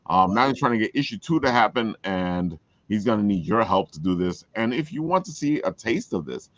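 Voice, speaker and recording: A man's voice: fairly low pitch, big pitch swings, fairly quick, fairly bright tone, no room sound, highs cut off. A man hosting a casual promotional chat, talking in a folksy way and repeating phrases for emphasis. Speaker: show host.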